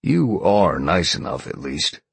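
A man's voice: low voice, big pitch swings, measured pace, vocal fry, breathy edge, no room sound, exaggerated voice. A man voicing a sly, flirtatious, irreverent female fox in an audiobook, teasing and grumbling. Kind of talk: audiobook character acting.

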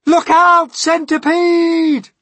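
Man as an urgent, high-voiced young boy. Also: little pitch movement, drawn-out words, pushed and bright, no room sound, full character voice. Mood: alarmed. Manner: urgent, commanding, exclamatory. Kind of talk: children's storybook character voice.

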